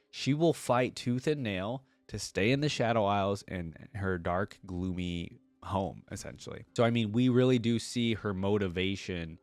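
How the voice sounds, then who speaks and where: A man's voice: fairly low pitch, no echo. A man hosting a reaction video, reading a story aloud and adding casual commentary.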